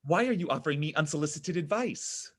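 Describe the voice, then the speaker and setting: A man's voice: fairly high pitch, lively intonation, a little fast. An actor reading the part of William, an anxious, awkward young man, at a table read of a comedy script. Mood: scheming. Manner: nervous, fidgety delivery with awkward laughs.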